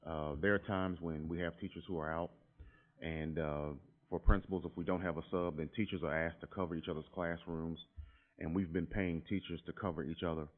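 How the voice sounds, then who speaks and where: A man's voice: sits low, steady tone, a little fast. A man presenting a proposal at a school board meeting, explaining steadily with frequent filler words. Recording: tinny lo-fi meeting audio.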